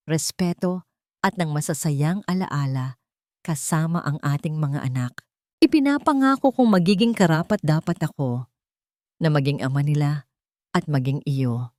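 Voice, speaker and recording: A woman voicing an earnest, tender businessman in a gentle, sincere, measured manner for a romance story. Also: sits low, dry recording, studio quality. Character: earnest, tender businessman proposing a family life.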